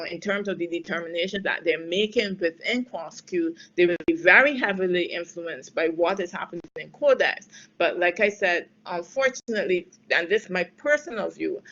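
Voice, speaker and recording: A woman's voice: on the low side, a bit bright, highs cut off. A woman from Barbados answering audience questions on trade and health policy in a webinar, thinking aloud with fillers and firm emphasis at times. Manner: thoughtful, many fillers and restarts, emphatic in places. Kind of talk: spontaneous expert Q&A on a webinar.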